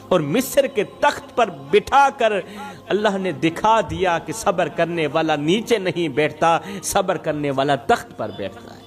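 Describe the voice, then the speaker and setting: A male preacher with a high-pitched voice delivers a moral sermon in a storytelling style. He exhorts his listeners emphatically, building points through rhetorical repetition. Faint music plays behind his voice.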